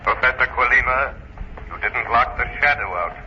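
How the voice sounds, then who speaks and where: An actor playing an invisible avenging crime fighter, commanding, taunting and deliberate, in an old radio drama. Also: male voice, mid-range voice, lightly acted. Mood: ominous. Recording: muffled, noisy old radio broadcast recording.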